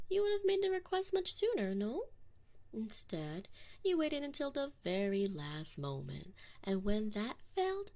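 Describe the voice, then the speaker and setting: A woman's voice: mid-range voice, a little mellow. A woman voicing a sadistic, smug female alien enforcer in an ASMR roleplay, taunting and condescending, sometimes dropping to a whisper. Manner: slow, taunting, condescending, slipping into breathy whispers. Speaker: audio roleplay performer. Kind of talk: ASMR roleplay acting, addressing the listener.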